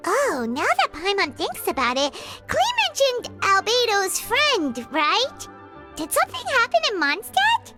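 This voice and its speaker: A woman voicing a small, excitable fairy companion in a video game, childlike and squeaky, chatty and full of exclamations. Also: a little slow, over loud music, full character voice. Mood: concerned. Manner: bubbly, exclamatory, refers to herself by name.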